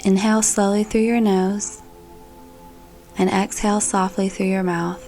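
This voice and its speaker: A woman leads a guided meditation with a calm, soothing delivery. Her voice sits at a medium pitch and she speaks gently, as a guide reading prepared narration. Music plays faintly behind her. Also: a little slow. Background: background music.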